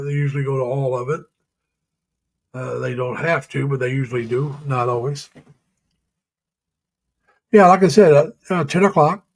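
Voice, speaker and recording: A middle-aged man hosting a casual live stream, chatting with viewers in a folksy, rambling way, laughing often and pausing on many uhs. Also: mid-range voice, poor audio. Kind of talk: casual chat reading viewer comments. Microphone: home webcam or computer mic.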